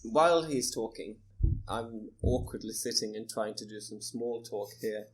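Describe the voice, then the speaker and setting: Curious Swedish-accented player. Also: male voice, fairly low pitch, a little slow, poor audio. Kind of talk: in-character tabletop roleplay.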